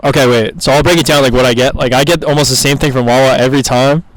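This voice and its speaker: A young man of about twenty rattling off his thoughts at a quick clip, loose and casual, dropping "like" and "bro" all through. His voice sits at an ordinary middle pitch. The sound is close and dry, with no room around it. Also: fairly even intonation, dry recording, poor audio. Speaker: videographer and new co-host. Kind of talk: casual chat.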